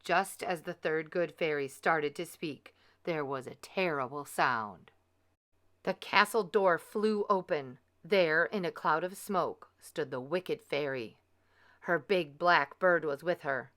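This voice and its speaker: A woman narrates a children's picture book with expressive, measured drama. Her voice is fairly low, smooth and bright, and she takes her time over each line. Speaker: storyteller reading a picture book aloud.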